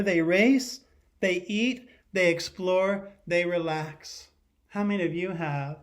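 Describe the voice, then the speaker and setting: Middle-aged man teaching young children. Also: high-pitched. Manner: simple explanations with frequent questions to young viewers. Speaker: teacher giving a virtual read-aloud lesson. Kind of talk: children's lesson and picture book read-aloud. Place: living room at home, indoors.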